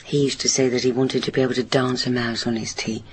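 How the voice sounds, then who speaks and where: A woman's voice: very deep voice, level tone, fast, a little mellow, slight background noise. A British woman playing a troubled, hesitant daughter of a railway signalman in a naturalistic radio drama. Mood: polite, self-conscious. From Britain. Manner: hesitant, self-correcting, reflective. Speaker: actor in a radio play.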